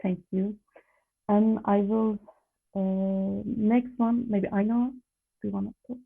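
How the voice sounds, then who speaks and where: Flat-voiced woman on tinny call. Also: mid-range voice, slow, creaky. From Turkey. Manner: hesitant, many fillers. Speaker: volunteer graphic designer. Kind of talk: online webinar self-introduction. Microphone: video call. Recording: tinny phone-like call audio.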